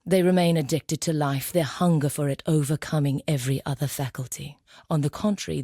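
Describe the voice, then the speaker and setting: A woman's voice: dry recording, clean studio sound. A woman voicing a serene, morbid necromancer in a video game, speaking in a formal, theatrical way with reverence for death. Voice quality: low, dark, breathy, often sinking to a whisper. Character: serene, morbid female necromancer and self-styled death artist. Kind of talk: video game character monologue.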